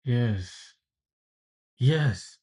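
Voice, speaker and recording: A man's voice: mid-range voice, lively intonation, drawn-out words, a little mellow, slightly rough. A young man from South Sudan livestreaming his coding, chatting casually with viewers, swearing and venting frustration, calling everyone bro. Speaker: programming livestreamer. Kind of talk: casual live coding stream.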